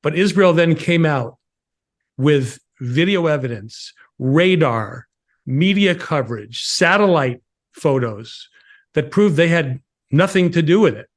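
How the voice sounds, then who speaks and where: Slow, deliberate man. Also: fairly high pitch, animated. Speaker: co-host of a political talk show. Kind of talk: live political discussion.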